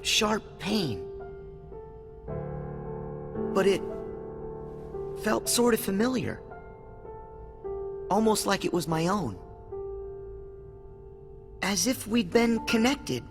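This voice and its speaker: A man's voice: high-pitched, sing-song, slow, pauses often, exaggerated voice. A man playing an earnest, kindhearted teenage boy hero in a video game cutscene, sincere and resolute. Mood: gentle, thoughtful. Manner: sincere, resolute, youthful delivery. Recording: music behind the voice.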